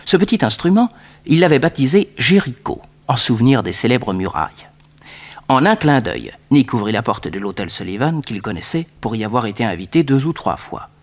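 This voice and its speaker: An actor narrating a 1960s radio detective serial as a measured storyteller. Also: male voice, mid-range voice, very animated.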